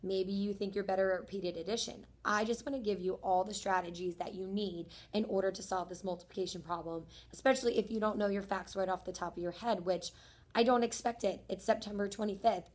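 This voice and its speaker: A young woman teacher speaks to her third graders with a voice worn hoarse by the day. She is friendly and encouraging, and she explains each step slowly and plainly. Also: mid-range voice, fairly even intonation. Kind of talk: homework explanation video for students.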